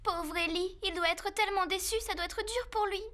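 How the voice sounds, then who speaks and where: A woman's voice: extremely high pitch, steady tone, a little fast, pushed and bright. A woman voicing a sweet, sympathetic little female rescue vehicle in a dubbed children's cartoon, chirpy and eager.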